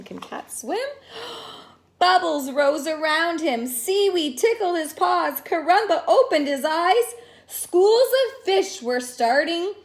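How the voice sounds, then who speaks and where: A woman teacher reading a picture book aloud in an expressive, sing-song way, stretching words and adding asides to young listeners. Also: sits high. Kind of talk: animated children's story read-aloud with asides to listeners.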